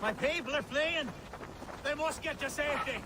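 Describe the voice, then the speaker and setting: A man's voice: very high-pitched, normal speed, very noisy. An actor playing a nervous, chatty, rambling Anglo-Saxon nobleman in a video game cutscene. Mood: panicked. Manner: rambling, fussy, flustered, effusive.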